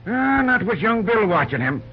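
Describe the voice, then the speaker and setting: An actor playing an eager, bossy young railroad hand who uses folksy slang and a hurried manner, in 1940s radio acting. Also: male voice, sits high, sing-song. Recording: dull old radio recording with faint hiss.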